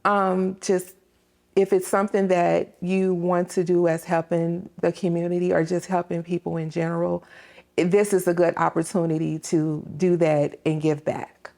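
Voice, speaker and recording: A woman with a lowish voice and a strong creak answers as an interview guest. She explains her dispatch work conversationally, pausing to think. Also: level tone, a little mellow.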